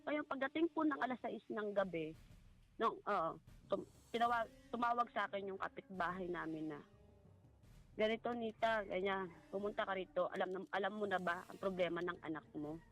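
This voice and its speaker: A woman with a Filipino accent giving a personal account in a halting, polite way, as a guest in conversation. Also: mid-range voice, a bit bright, poor audio. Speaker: guest recounting a family story. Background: music.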